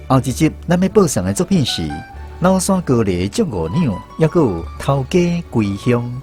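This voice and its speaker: A man's voice: mid-range voice, sing-song, normal speed, a little mellow. A man with a Taiwanese accent presenting a radio programme as host, reading in a scripted style. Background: music.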